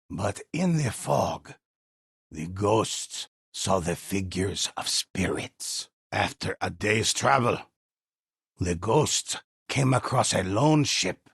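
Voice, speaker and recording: An actor playing a grave old male storyteller, narrating dark legends for a video game in a solemn, measured, gravelly voice. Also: fairly low pitch, no room sound, clean studio sound, heavily acted. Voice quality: gravelly, husky. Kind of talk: dramatic scripted legend narration.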